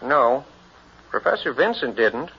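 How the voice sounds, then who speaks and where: An actor playing a plain-spoken, hesitant small-town man in a 1960s radio drama, husky and folksy. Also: medium pitch, big pitch swings, unhurried, heavily acted. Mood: concerned. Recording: muffled vintage radio recording.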